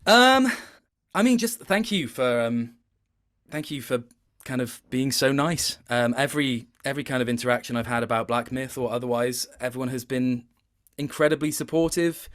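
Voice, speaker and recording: A man's voice: medium pitch, normal speed, a bit bright. Thoughtful man chatting as interview guest. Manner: thoughtful, searching for words, self-interrupting. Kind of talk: casual, reflective interview chat.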